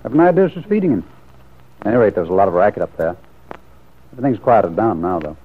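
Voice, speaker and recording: An actor playing a dry, teasing bachelor in a wry, bookish manner for an old radio soap opera. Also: male voice, on the low side, sing-song, fast talker, slightly rough. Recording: old muffled radio recording.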